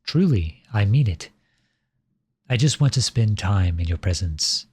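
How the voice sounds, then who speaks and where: A man playing a flirtatious, silver-tongued royal at a masquerade ball in an ASMR roleplay, speaking in courtly, formal, flattering phrases with a husky voice. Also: fairly low pitch, unhurried, no room sound, studio quality. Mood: earnest, coaxing. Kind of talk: ASMR roleplay, scripted romantic monologue addressed to listener.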